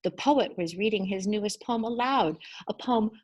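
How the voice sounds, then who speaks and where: A woman reading a children's story aloud in an expressive, deliberate and emphatic storytelling style. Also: mid-range voice, slightly rough. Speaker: storybook reader.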